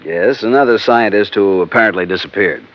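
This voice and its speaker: An actor playing a polite, businesslike FBI agent in a 1950s radio drama, speaking precisely and formally. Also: male voice, on the low side, brisk, lightly acted. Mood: matter-of-fact. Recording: muffled old radio recording.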